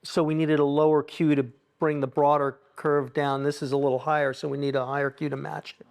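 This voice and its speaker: A man leading an informal technical workshop, thinking out loud in a halting, self-questioning way with explanatory asides. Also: on the high side, little pitch movement, vocal fry. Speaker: acoustics consultant leading a tuning workshop.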